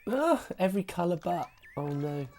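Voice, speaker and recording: A woman with a husky voice after a recent illness, chatting casually and self-deprecatingly while live-streaming retro games. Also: fairly low pitch, sing-song, normal speed, a little mellow, faint music behind. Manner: self-deprecating, apologetic, giggly. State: recovering from sickness.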